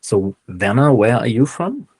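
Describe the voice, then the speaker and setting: A man from Germany chatting on a video call before giving his session, in relaxed, friendly small talk. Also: medium pitch, a little slow, husky, no echo. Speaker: speaker of the session. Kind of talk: casual chat on a video call.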